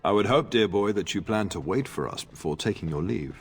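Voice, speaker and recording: A man's voice: fairly low pitch, lively intonation, a little fast, faint music behind. A middle-aged man playing a genial, dignified lord and proud father in a video game. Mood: gently chiding.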